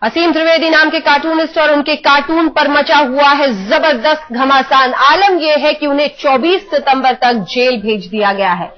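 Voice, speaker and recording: A high-pitched woman presents a news debate. Her delivery is emphatic and formal, in a studio presenting style. The broadcast audio sounds somewhat muffled. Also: moderate pace, hardly any pauses. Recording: dull band-limited broadcast audio.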